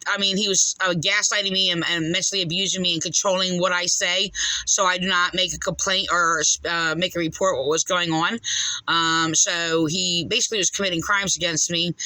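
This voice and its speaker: Agitated female caller, sharp bright voice, close dry sound, rambling complaint. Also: on the low side, no echo, low-quality recording. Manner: rambling, insistent, repetitive. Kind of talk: phone complaint to police.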